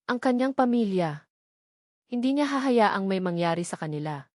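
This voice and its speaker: A woman's voice: mid-range voice, animated, moderate pace, a little mellow, dry recording, clean studio sound. A woman reading scripted dramatic narration of a romance story as a storyteller.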